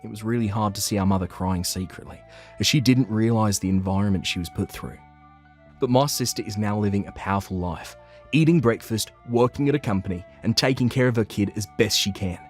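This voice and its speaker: A man's voice: on the low side, fairly quick, soft background music, natural voice. A man playing an earnest, anxious young office worker who narrates his own story in a manga dub. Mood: narrating, sympathetic.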